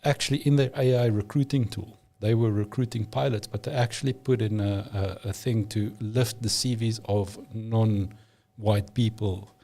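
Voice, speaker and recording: Mellow-voiced man. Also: on the low side, steady tone, moderate pace, creaky, hardly any pauses. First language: Afrikaans. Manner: emphatic, opinionated, frequent restarts. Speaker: podcast guest. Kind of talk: casual conversation.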